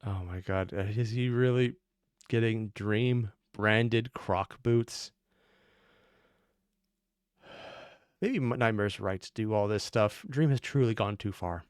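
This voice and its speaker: A man's voice: fairly low pitch, takes it slowly, pauses often, smooth, no echo. Casual male reaction commentator, close dry sound. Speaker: reaction video host.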